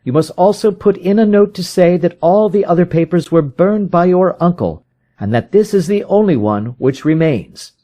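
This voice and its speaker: A man acting out an urgent, high-pitched commanding detective. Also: lively intonation, moderate pace, warm tone. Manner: emphatic, formal Victorian diction. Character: commanding male detective, urgent, impatient, decisive. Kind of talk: audiobook character voice in a detective story.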